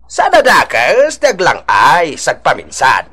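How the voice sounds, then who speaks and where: An exasperated older father in a radio drama. He scolds and preaches in a high, very lively voice with an Ilocano accent, full of exclamations. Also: slow pace, slightly rough, exaggerated voice. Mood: scolding.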